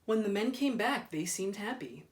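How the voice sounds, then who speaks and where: A man reading a children's storybook aloud in a deliberate, dramatic way, drawing out repeated words. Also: sits high, lively intonation. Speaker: school principal reading aloud. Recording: roomy echo, distant microphone.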